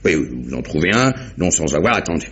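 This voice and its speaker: An actor playing a genial, methodical police inspector, recapping deliberately in a theatrical mid-century French radio mystery manner. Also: male voice, extremely low pitch, sing-song, fairly bright tone, exaggerated voice. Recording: dull vintage radio drama recording.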